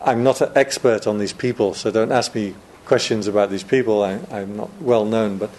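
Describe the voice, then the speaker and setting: A man's voice: on the low side, fairly even intonation, moderate pace, a little mellow, slight background noise. A man, a Buddhist monk, giving a chatty Dharma talk to a room of students, joking and laughing often.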